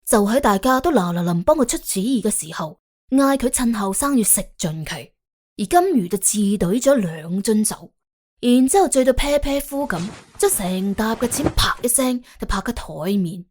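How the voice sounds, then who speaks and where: Woman narrator, Cantonese, expressive storytelling, highish voice, very varied intonation, wry colloquial tone. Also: moderate pace. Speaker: audiobook narrator. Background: faint background music.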